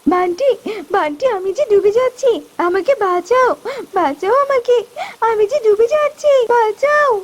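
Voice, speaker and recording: Young female bird for animation, fretful and timid, extremely high pleading voice; woman performer. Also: animated, moderate pace. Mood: panicked. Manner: anxious, pleading.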